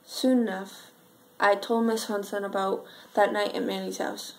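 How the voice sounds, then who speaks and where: A young woman performing an amateur dramatic monologue as a sad, frightened schoolgirl, telling it earnestly with halting pauses. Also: medium pitch, little pitch movement, gravelly, poor audio. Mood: subdued, uneasy. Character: sad, frightened schoolgirl recalling her missing friend.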